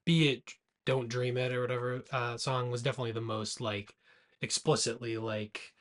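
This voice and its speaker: A man with a medium-pitched voice talking as a podcast co-host. His delivery is informal and rambling, and he often rewords a thought partway through. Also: flat delivery, moderate pace. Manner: informal, rambling, self-correcting. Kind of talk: casual movie discussion.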